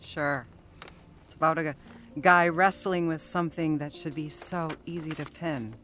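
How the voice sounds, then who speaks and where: An actress playing a writer's frank, approving woman companion, brisk and clipped in an audio drama. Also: deep voice, steady tone, fairly bright tone, a little hiss or hum. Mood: assured, teasing. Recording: music behind the voice.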